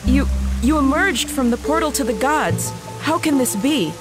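A woman's voice: high voice, expressive, moderate pace. An actress playing a regal young female warrior princess in a video game cutscene, commanding and urgent. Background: music.

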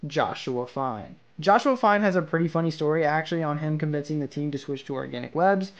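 A man's voice: a little high, expressive, fairly quick, highs cut off. A man hosting a video with casual scripted narration. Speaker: video host.

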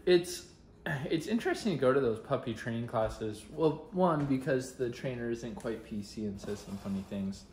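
A man's voice: medium pitch, normal speed, echoey room, a little hiss or hum. A young man rambling casually in a daily vlog, talking to a phone held at arm's length at home. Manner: relaxed, rambling, talks to camera. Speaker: vlogger. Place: car, then home kitchen and living room. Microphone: handheld phone at arm's length.

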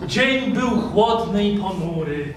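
An actor playing a tutor to a young prince, declaiming in theatrical children's stage style. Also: male voice, high-pitched, animated, slow pace. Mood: declamatory. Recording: echoey stage hall, distant, faint noise.

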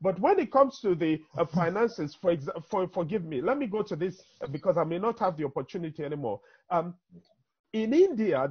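A man's voice: high voice, breathy edge. A Nigerian man in his fifties with a Nigerian accent, speaking emphatically as a panelist in an online town hall, driving points home by repetition over a video call. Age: 50s. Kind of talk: impassioned speech in an online town hall discussion. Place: indoor room, on a video call. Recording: dull, lo-fi video call audio.

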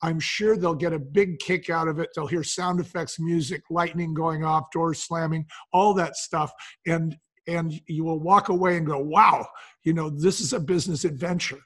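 A man's voice: a little high, fairly even intonation, moderate pace, fairly bright tone. A man talking conversationally as an interview guest, explaining things in an illustrative way. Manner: explanatory, acts out imagined quotes. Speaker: podcast guest, business founder.

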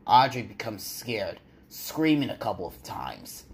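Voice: medium pitch, big pitch swings, a little slow. A narrator reading a scripted story aloud, stumbling now and then over the words.

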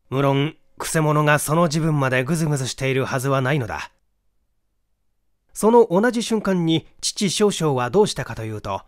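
A middle-aged man reading literary mystery fiction aloud in an expressive, dramatic storytelling manner. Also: a little high, no echo, studio quality. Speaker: audiobook reader, stage actor.

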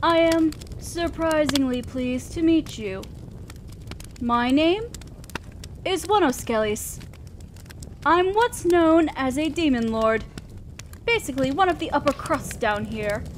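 A woman playing a haughty, teasing female demon lord in a roleplay audio drama, with a drawn-out, condescending, theatrical delivery. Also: sits high, animated. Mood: imperious. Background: crackling fire.

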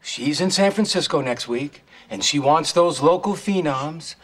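An energetic boss who makes big announcements in a bright, forceful voice. His pitch is slightly high. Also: a little slow, slight background noise. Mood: excited.

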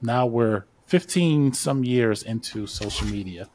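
A man's voice: mid-range voice, expressive, a little slow, a little mellow, a little hiss or hum. A man in his thirties, a podcast guest on a video call, explaining his views at length in a casual, thoughtful way. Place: home studio, indoors. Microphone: large studio mic on video call.

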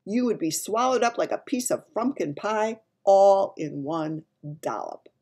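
A woman voicing a gentle, runty male giant from a children's storybook, talking in muddled grammar and made-up words. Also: mid-range voice, animated, measured pace. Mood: emphatic. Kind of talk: dramatised children's storybook reading.

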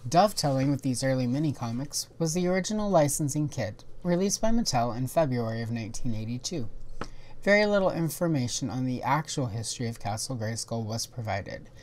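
A woman hosting a toy history video, giving scripted documentary narration in a measured, informative way. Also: extremely low pitch, expressive, darkish tone, slight background noise.

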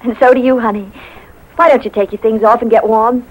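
An actress playing a gentle, affectionate young mother in a 1950s radio drama. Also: fairly high pitch, fairly even intonation, fairly quick, lo-fi sound, lightly acted.

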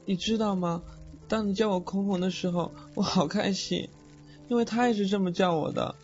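A sweet, naive young boy ghost played by a man, high-pitched and childlike, pouting like a kid. It is radio-play acting with a slightly dull sound and faint music. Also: steady tone, moderate pace, darkish tone, lightly acted. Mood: wistful. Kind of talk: Mandarin audio drama acting.

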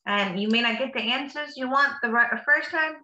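A woman's voice: a little high, moderate pace, pushed and bright, lo-fi sound. A woman reading a picture book aloud to children like a teacher, with encouraging, simply worded asides in between. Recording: echoey room.

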